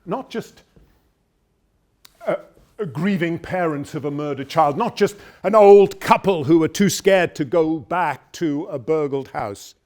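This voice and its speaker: An actor playing a troubled, dutiful middle-aged public prosecutor and father, in an intense, deliberate theatrical monologue. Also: a little high, darkish tone, natural voice. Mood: torn, grave. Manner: intense, deliberate, with dramatic swells and drops.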